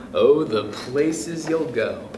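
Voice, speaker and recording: Man, youth club storyteller, unhurried and sing-song, playful picture-book reading, echoey room. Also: mid-range voice, slight background noise. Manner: expressive, playful, chuckles between passages. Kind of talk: children's picture book read-aloud.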